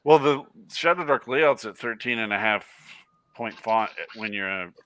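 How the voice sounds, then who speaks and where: A man's voice: on the low side, expressive, normal speed, a bit bright, clean tone, dry recording. A man, a guest game publisher, talking in a matter-of-fact, businesslike way in a casual chat.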